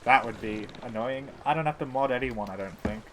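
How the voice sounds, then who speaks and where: A man's voice: mid-range voice, fairly quick. Guy livestreaming a game, teasing chat, mumbles to himself, really noisy water and clicking sounds behind him. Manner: playful, teasing banter with chat. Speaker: live streamer. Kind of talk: casual gameplay stream chat.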